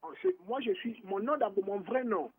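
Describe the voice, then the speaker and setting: A man's voice: sits high, moderate pace. A man born in Morocco, calling in to a radio show and talking in a rambling, casual way, mixing in Lingala. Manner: rambling, restarts often, mixes French and Lingala. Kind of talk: casual phone-in chat. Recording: phone line on the radio.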